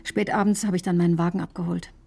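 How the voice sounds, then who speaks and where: A woman's voice: on the low side, little pitch movement, quick pace, creaky, darkish tone, husky. Earnest, defensive woman doctor. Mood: tense recounting. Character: earnest, defensive woman doctor recounting her own investigation. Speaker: doctor, first-person narrator. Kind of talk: radio drama narration and dialogue.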